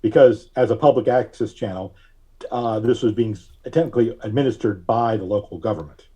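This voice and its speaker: A man speaking as a podcast guest, recalling things casually in a halting, deliberate way with frequent long hesitations. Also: lowish voice, warm tone, husky, muffled recording. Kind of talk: casual interview recollection.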